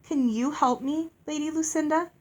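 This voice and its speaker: A woman's voice: high voice, steady tone, normal speed, a little mellow, highs cut off, voice pushed up, natural voice. A woman voicing an earnest, polite young girl from a storybook, courteous and pleading.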